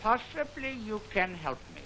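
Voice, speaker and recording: Film serial man: formal, inscrutable, polite; high-set, lively, measured delivery over noisy old soundtrack. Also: full character voice. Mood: polite, probing. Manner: formal, measured, proverb-quoting. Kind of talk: 1940s film serial acting.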